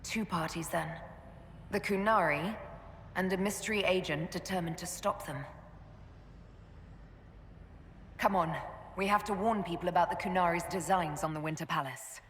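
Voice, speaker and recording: An actress playing a composed, resolute female leader of a fantasy order in a video game, speaking in a measured, earnest way. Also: on the low side, close to an everyday voice. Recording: roomy echoing hall, faint background noise.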